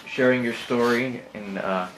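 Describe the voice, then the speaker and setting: A man's voice: medium pitch, measured pace, fairly bright tone. A man interviewing a guest, encouraging him with frequent murmurs and follow-up questions. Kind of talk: casual interview. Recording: echoey room, faint hiss.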